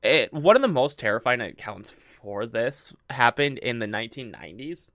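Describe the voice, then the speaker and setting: Man with a lively pitch, stumbling as he reads. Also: normal speed, no echo, clean studio sound. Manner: stumbling, laughs often, many fillers. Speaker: podcast co-host. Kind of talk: casual chat, reading a story.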